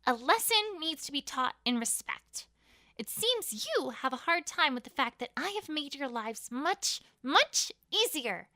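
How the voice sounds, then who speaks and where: A woman voicing a cruel, commanding pony queen with a quick temper in a fan fiction audio drama. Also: high-pitched, very animated, pushed and bright, no room sound, studio quality, pitched above the performer's own voice, exaggerated voice. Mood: stern, menacing. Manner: commanding, scornful.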